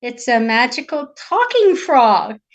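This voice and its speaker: A woman voicing a king in a fairy tale, a measured, firm and worried father. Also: a little high, very animated, highs cut off. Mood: incredulous. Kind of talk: live storytelling of a fairy tale.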